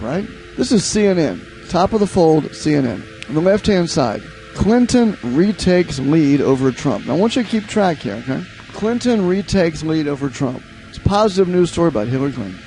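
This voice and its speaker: A man's voice: fairly high pitch, a little mellow, rough recording. A man giving opinionated commentary on news headlines in a sarcastic manner, full of rhetorical questions. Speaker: political commentator. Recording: music playing behind the voice. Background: music.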